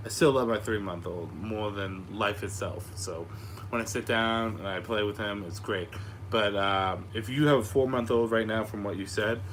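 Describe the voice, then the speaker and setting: A young man with a slightly raspy voice that is starting to give out, chatting casually and frankly to viewers of his live Q&A, swearing freely as he rambles through stories. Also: fairly low pitch, a bit bright, rough recording. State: losing his voice after being sick. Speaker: host of a live Q&A stream. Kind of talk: casual chat to online viewers. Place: a room upstairs at home. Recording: clearly audible background noise.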